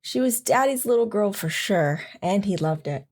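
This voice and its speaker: A woman playing a devoted military wife in an audio drama, gently and wistfully recalling life with her husband. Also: mid-range voice, animated, moderate pace, natural voice. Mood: fond, reflective. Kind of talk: audio drama acting, reminiscing narration.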